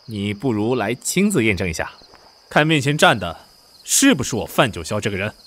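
A man acting in a costume audio drama as a flustered, brash young man posing as a prince, theatrical and quick to protest or mutter asides. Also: fairly low pitch, very animated, a bit bright, a little hiss or hum. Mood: feigning innocence.

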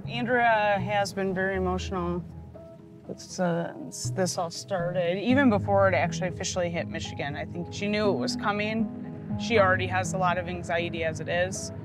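A woman in her thirties, a paramedic, speaking in a plain-spoken, steady, matter-of-fact way in a documentary interview. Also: lowish voice, normal speed, music in the background.

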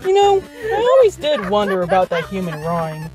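A woman's voice: medium pitch, wildly swooping pitch, moderate pace, music in the background, low-quality recording. A woman playing a laid-back, teasing elder skeleton brother in an amateur audio drama, with a casual, playful manner.

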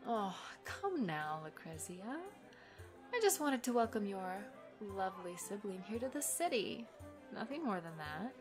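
A woman's voice: medium pitch, very animated, measured pace. A woman voicing a sly, flirtatious tavern temptress in a fantasy audio roleplay, coy, teasing and mock-polite. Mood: feigned innocence. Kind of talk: ASMR roleplay acting. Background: music.

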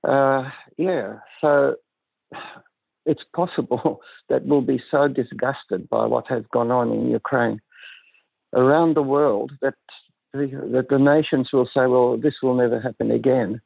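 Older male guest, gravelly and husky, measured and reflective, heard over a dry, tinny phone line. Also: medium pitch, fairly even intonation, no echo. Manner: measured, thoughtful, halting with restarts. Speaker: guest psychiatrist. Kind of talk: reflective interview talk.

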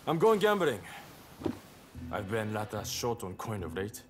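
A roguish young samurai gambler in a game cutscene, sly and carefree. His lowish voice moves around a lot over clear music. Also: very animated, measured pace. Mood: nonchalant. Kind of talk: video game cutscene acting. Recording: music playing behind the voice.

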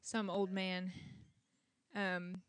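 A woman's voice: fairly low pitch, flat delivery, extremely slow, clear, resonant tone. A young woman hosting a livestream in casual chat, speaking into a handheld microphone outdoors. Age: young adult. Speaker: livestream host. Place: outdoors in a garden.

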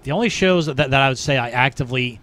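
A man with a clear, smooth voice at a middle pitch talks casually as a podcast co-host. He thinks aloud in a halting way. He is close to a studio mic. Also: a bit bright. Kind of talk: casual chat.

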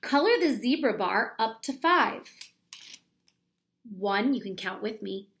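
A woman in her thirties reading a children's book aloud like a teacher, explaining deliberately and asking the young listeners questions. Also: on the high side, expressive, fairly bright tone. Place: small plain room at home, indoors.

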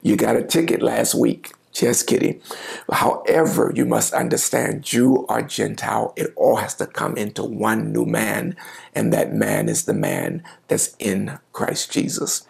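A middle-aged man, a pastor, preaching a sermon to the camera from a home office, reading scripture and explaining it with lively gestures. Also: fairly low pitch, a little slow, gravelly. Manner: animated gestures, reading and explaining scripture, occasional quiet asides. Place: home office with bookshelves, indoors. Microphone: lapel mic, seated at desk.

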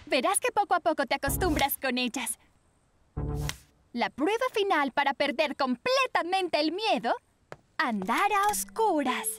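A woman voicing an excitable, sweet young girl in a cartoon dub, dramatic. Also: high voice, unhurried, faint music behind. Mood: encouraging. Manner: exclamatory, dramatic. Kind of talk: animated children's cartoon dub.

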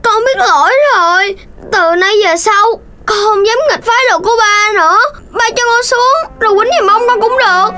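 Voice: very high voice, moderate pace, few pauses, sharp tone. An actor voicing an eager, boastful little boy puppy in a children's cartoon, theatrical and whiny when thwarted. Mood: pleading. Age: child character. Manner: theatrical, exclamatory. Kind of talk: Vietnamese children's cartoon dubbing. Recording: music behind the dialogue.

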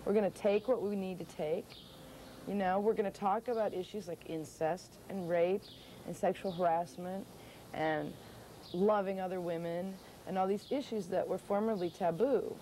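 An outspoken young woman musician talks bluntly and doesn't hold back her swearing. Her voice is mellow and of middle pitch. She speaks outdoors for a documentary interview. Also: steady tone, moderate pace, slight background noise. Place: outdoors beside a pale wall.

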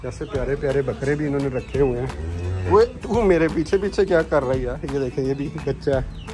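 Man vlogging over music. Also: mid-range voice. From Pakistan. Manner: informal, repeatedly urging viewers to look. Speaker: vlog host. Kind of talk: casual walk-around farm tour. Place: outdoors, roadside and open farm sheds. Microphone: phone at arm's length. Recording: background music under outdoor phone audio.

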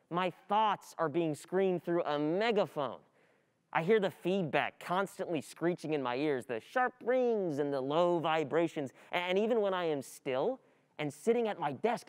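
A performer plays a distracted, frustrated student in a dramatic radio play monologue. The voice is clear and medium-pitched, agitated and repetitive, with anxious thoughts that halt and then spill out. Long hall reverb surrounds it. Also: natural voice.

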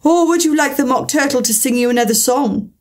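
A woman's voice: high voice, brisk, lightly acted. Woman voicing a bossy, hasty Gryphon. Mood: brusque. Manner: hasty, commanding, excitable cries. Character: brusque, impatient male Gryphon, bossy storybook beast.

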